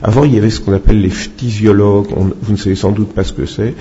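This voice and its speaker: A man speaking off the cuff in a halting, opinionated way as a doctor answering questions at a public talk. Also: on the low side, steady tone, warm tone. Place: public meeting with microphone. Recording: muffled, noisy public-event recording.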